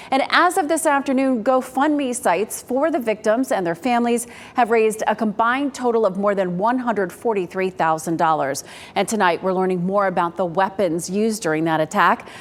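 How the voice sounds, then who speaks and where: American woman anchoring news, long reverb. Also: medium pitch. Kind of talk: scripted news narration.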